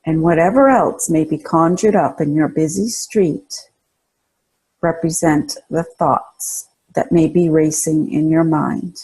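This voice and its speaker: A woman narrates a guided relaxation meditation at a very unhurried pace. Her voice is soft-toned and mellow, with a husky, breathy texture. She gives calm, soothing instructions and leaves space between phrases. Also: lowish voice, low-quality recording.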